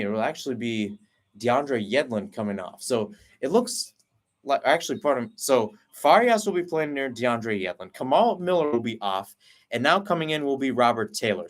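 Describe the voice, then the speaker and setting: Man calling live soccer play-by-play. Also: mid-range voice. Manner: rapid running call, rising on chances. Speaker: play-by-play commentator.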